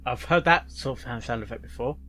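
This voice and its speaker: A man hosting a reaction session, commenting casually in halting bursts, interjecting and echoing phrases. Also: medium pitch, sharp tone, faint music behind. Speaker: host of a reaction video.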